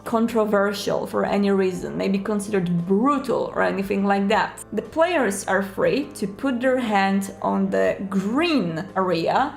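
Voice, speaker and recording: A woman's voice: medium pitch, unhurried, vocal fry, hardly any pauses. A woman hosting an explanatory advice video in a chatty, emphatic way, stretching out key words. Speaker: video host giving roleplay advice. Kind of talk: explanatory talk to camera. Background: background music.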